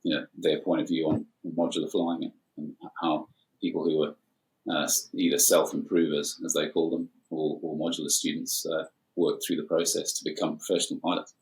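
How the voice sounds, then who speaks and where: A man's voice: extremely low pitch, little pitch movement, fairly quick, rough recording. A man in his forties from Zimbabwe, an airline captain talking as a guest in a casual chat, with many hesitant fillers.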